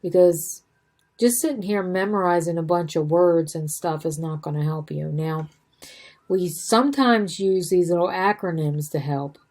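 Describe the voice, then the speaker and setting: A woman's voice: lowish voice, normal speed, a little mellow. A woman teaching real estate exam material in a casual, repetitive way, saying she is losing her voice. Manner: conversational, repeats points, self-corrects. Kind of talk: casual study-guide lesson.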